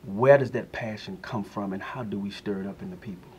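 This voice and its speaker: A man with a fairly low voice hosts an interview. He asks his questions in a measured way, with some faint room noise around him. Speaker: interview host.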